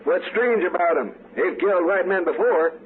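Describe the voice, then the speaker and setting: A high male voice acted as an angry American homesteader, folksy and argumentative, in an old radio western. The recording is thin and narrow, with a little noise. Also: normal speed, close to an everyday voice. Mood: accusing. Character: bitter, angry frontier settler. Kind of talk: 1950s western radio drama acting.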